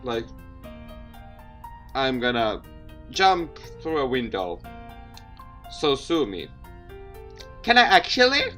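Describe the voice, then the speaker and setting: A game streamer with a mid-pitched voice reading a story game aloud expressively, with asides and the odd stumble over words. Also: wildly swooping pitch, slow, pauses often, fairly bright tone, soft background music. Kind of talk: let's play narration with reactions and asides.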